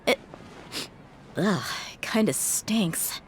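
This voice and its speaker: A woman's voice: medium pitch, expressive, very slow, slight background noise. A woman playing a tough, blunt, sardonic but caring young mercenary in a video game, talking casually.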